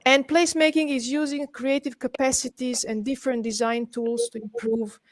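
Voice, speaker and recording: Higher-pitched Serbian-accented woman presenting. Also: flat delivery, moderate pace, no room sound. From Belgrade, Serbia. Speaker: guest presenter, urban planner. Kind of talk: webinar presentation. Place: indoors, on an online webinar call.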